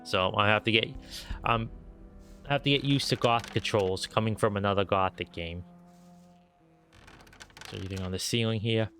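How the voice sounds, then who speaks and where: Low-voiced stuffy-nosed man streaming. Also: moderate pace, pauses often, pushed and bright, soft background music. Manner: rambling, lots of fillers and restarts. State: stuffy nose, a bit nasal. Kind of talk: casual gameplay commentary.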